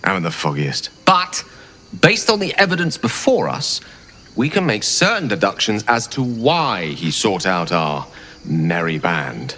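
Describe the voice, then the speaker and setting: A man's voice: low-pitched, big pitch swings, a little slow, sharp tone, in a large hall, slight background noise, exaggerated voice. A man playing a shrewd, scholarly wizard type in a fantasy video game, speaking with erudite, theatrical deliberation. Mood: shrewd deduction. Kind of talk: video game character dialogue.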